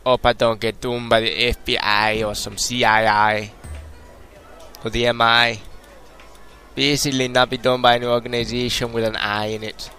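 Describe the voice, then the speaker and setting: Bright-voiced young British man, playful let's-play commentator slipping in and out of a mock Jamaican accent. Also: mid-range voice, flat delivery, normal speed, soft background music. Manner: joking, rambling, puts on a mock Jamaican accent. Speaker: gameplay commentator.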